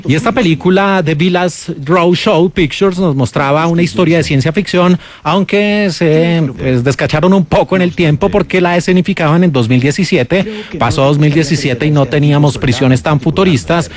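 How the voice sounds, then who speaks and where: Colombian guy doing radio movie reviews, slightly high voice, explains stuff with emphasis. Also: normal speed. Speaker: radio film critic and host. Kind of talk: film review countdown on radio.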